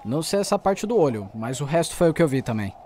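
Audio drama: actor as insistent, rattled young man, a journalist, animated and urgent. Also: fairly high pitch, quick pace, a little hiss or hum. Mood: tense. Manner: urgent, persuasive. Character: shaken, insistent young male investigative journalist.

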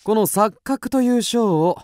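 An actor playing a cool, self-assured young detective in a radio drama, explaining things deliberately and theatrically. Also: male voice, sits high, animated, darkish tone, no echo, clean studio sound. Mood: confident, explaining. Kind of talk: Japanese radio drama acting.

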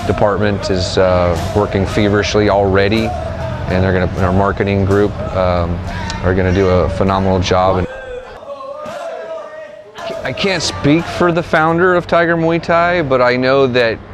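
A man in his thirties being interviewed at an open-air training gym, talking in a halting way with many restarts. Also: lowish voice, little pitch movement, over music. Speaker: gym staff member. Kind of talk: on-camera interview. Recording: outdoor noise behind the voice.

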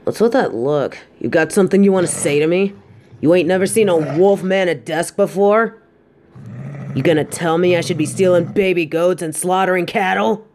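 A man voicing a surly, menacing wolf bandit in an audio drama, gruff and dismissive. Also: high voice, moderate pace, darkish tone, slight background noise. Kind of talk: fan audio drama acting.

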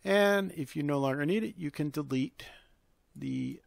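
A man hosting an informal software tutorial, talking step by step in an instructional way. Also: a little high, unhurried, vocal fry, no echo. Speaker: tutorial host.

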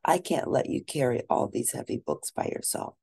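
A woman's voice: rumbling low, sing-song, moderate pace, creaky, warm tone, breathy edge, heavily acted. A woman voicing a sweet, earnest young princess girl in a storybook, speaking politely. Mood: earnest, concerned.

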